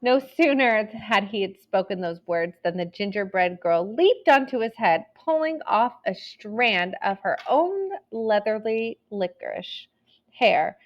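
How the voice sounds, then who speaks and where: A woman's voice: mid-range voice, muffled recording. A woman narrating a children's story expressively, as if reading a picture book aloud.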